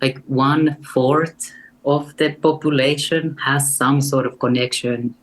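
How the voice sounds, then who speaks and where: Deep voiced finnish woman chatting on a call, pretty monotone, thoughtful. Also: slow, rough recording. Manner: earnest and reflective, with light laughs. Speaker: guest artist. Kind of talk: casual interview conversation.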